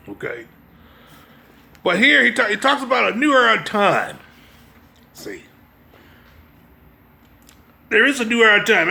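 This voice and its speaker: High-pitched, gravelly American man preaching emphatically. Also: bright, forceful tone. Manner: emphatic, exhortative, repeatedly checking listeners understand. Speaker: religious teacher. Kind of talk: impromptu sermon with brief reading.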